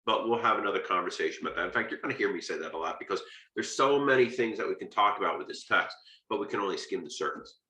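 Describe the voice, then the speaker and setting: Bright-voiced American man lecturing, echoey room. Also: sits low, animated, quick pace. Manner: conversational and emphatic, punctuating points with rhetorical questions. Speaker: literature lecturer and video host. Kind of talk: informal explanatory lecture.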